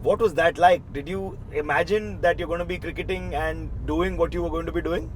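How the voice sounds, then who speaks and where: A man's voice: a little high, fairly even intonation, brisk, slight background noise, low-quality recording. A man hosting a casual interview show, upbeat and talking straight to the viewers. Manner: upbeat, speaks to viewers directly. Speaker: show host.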